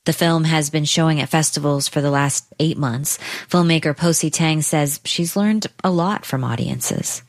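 Low-voiced American woman radio host. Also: normal speed, dry recording, studio quality. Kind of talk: broadcast news interview and narration.

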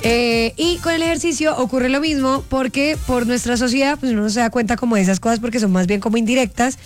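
A woman co-hosting a casual chat show, playful and bawdy, telling lively stories. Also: on the high side, quick pace, soft background music. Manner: playful, bawdy, animated storyteller. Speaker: co-host.

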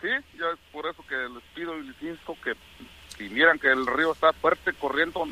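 A male caller with a Mexican accent and a fairly high, bright voice. He talks casually over a phone line with faint noise behind him. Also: fairly quick. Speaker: radio caller. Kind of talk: casual conversation.

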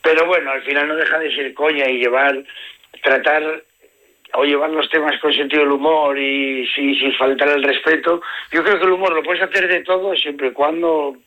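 A man with an Asturian Spanish accent, a comedian guest telling stories in a relaxed interview, laughing often and shifting between slow, stretched phrases and quick bursts. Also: on the high side, highs cut off. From Asturias, Spain. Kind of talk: casual interview chat.

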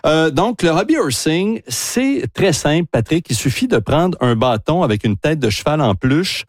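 Quebec man, radio columnist, amused explainer, fairly high voice, dry studio sound. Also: sing-song, normal speed, no room sound. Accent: Quebec French. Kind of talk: casual radio chat.

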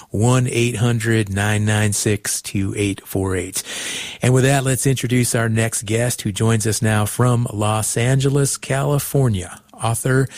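A man's voice: mid-range voice, creaky, darkish tone, dry recording, clean studio sound. A man hosting a radio call-in show, interviewing guests in a friendly, chatty way with frequent restarts.

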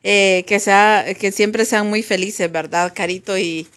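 A Honduran woman hosts talk radio in a medium-pitched voice. She speaks in an informal, chatty way, as if passing on messages from listeners. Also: steady tone, unhurried, a bit bright. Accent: Honduran Spanish. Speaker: radio host.

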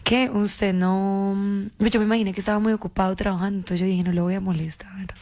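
A woman's voice: medium pitch, little pitch movement, a little fast, a little mellow. A woman from Colombia with a Paisa accent, chatting casually on a phone call in a coaxing, playfully evasive, insistent way. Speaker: phone caller.

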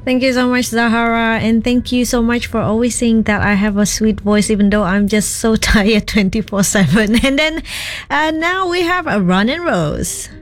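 A woman's voice: fairly high pitch, normal speed, soft background music. A woman hosting a late-night radio request show, chatting and laughing easily between lines. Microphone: studio microphone.

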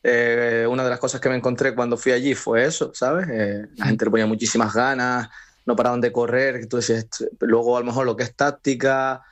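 A young man, a former footballer, answering a radio interview in a relaxed, rambling way with many fillers and restarts. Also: medium pitch, fairly quick.

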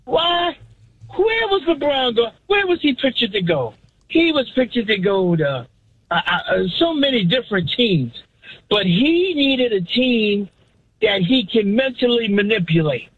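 Hoarse, very high-pitched New man calling in. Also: big pitch swings, a little slow, no room sound. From New York City. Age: 50s. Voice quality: hoarse, raspy. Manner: theatrical, slangy, repeats himself. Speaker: radio call-in guest. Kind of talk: animated casual sports rant. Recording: dull lo-fi call-in line.

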